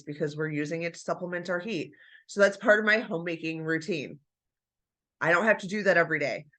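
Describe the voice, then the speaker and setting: Bright-voiced woman with a stuffy nose. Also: lowish voice. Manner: chatty, encouraging, addresses viewers as you guys. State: stuffy nose after flu. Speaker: homemaking vlogger. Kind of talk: casual chat to camera.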